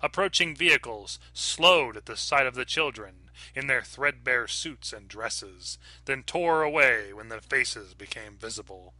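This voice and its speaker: A man reading a horror short story aloud in a measured, dramatic narration. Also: medium pitch, expressive, sharp tone, no room sound. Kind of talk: scripted narration.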